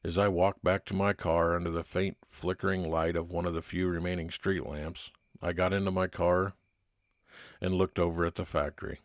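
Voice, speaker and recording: A man playing a level-headed, determined 1950s private investigator, narrating in a measured old-time radio detective style. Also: low voice, level tone, dry recording, clean studio sound. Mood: measured, resolute.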